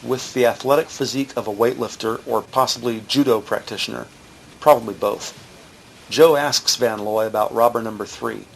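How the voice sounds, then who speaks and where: A man acting as a sardonic narrator of a scripted crime drama, punchy and gravelly. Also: medium pitch, natural voice. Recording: clearly noisy ambient background.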